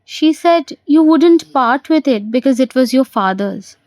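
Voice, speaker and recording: An earnest young suitor in an audio play, read by a woman, deferential and curious. The voice is fairly high and takes its time, asking politely, in a dry recording. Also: expressive, a little slow, darkish tone, dry recording. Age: young adult. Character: polite, curious young man, a prospective son-in-law.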